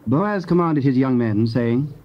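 A man's voice: mid-range voice, animated, unhurried. A man giving solemn, scripted narration of scripture. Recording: dull, lo-fi old cassette.

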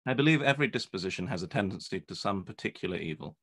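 Man playing a low-voiced haughty gentleman. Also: lively intonation, husky, exaggerated voice. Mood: proud, guarded. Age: young adult. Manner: formal, measured, aloof. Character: proud, reserved, haughty young English gentleman. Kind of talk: live-read period radio play. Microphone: video call.

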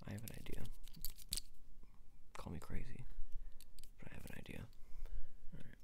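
A man in his twenties doing a soft-spoken ASMR roleplay as a repair technician, murmuring haltingly to the listener up close. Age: 20s. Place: small room at home.

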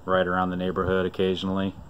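Low, flat-voiced man rambling outdoors. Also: racing, dull audio, slight background noise. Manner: relaxed, rambling storytelling with frequent "uh"s. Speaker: vlogger showing a vintage find. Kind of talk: casual off-camera narration. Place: outdoors on a driveway.

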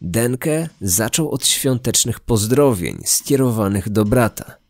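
A man narrating a scripted true-crime story in a measured, serious way. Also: lowish voice, lively intonation, darkish tone, no echo. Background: background music.

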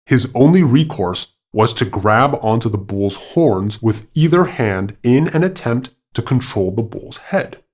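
A man narrating a scripted animal fable as a podcast storyteller, in a formal, measured and dramatic manner. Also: medium pitch, animated, darkish tone.

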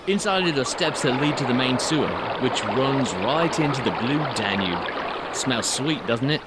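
Man acting deferential sergeant, wry, medium-pitched, loud watery background noise, radio play. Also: expressive, unhurried, natural voice. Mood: wry, informative. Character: deferential, wry army sergeant, male subordinate. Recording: loud watery sound-effect ambience.

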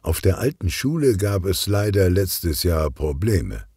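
A friendly, caring mother from a children's storybook, read by a man. The manner is gentle and conversational. The voice is slightly husky and mellow. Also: deep voice, big pitch swings, unhurried, dry recording, studio quality, full character voice. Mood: chatty, caring.

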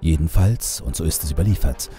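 A man narrating a horror audiobook in a slow, measured, ornate storytelling manner. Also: very deep voice, dark tone, soft background music.

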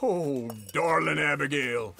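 An actor playing a teasing old outlaw layabout in a Western video game, with a gravelly American drawl and a blunt, folksy, mocking manner. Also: male voice, fairly high pitch, slow, bright, forceful tone, heavily acted. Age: older. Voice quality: raspy, gravelly.

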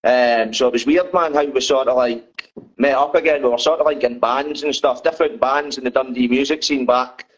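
A man's voice: mid-range voice, steady tone, normal speed, highs cut off. A Scottish man in a band, chatting loosely in an interview and tacking "man" onto his sentences. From Scotland. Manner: rambling, says man a lot. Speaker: band member guest. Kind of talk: casual interview chat. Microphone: phone on a video call.

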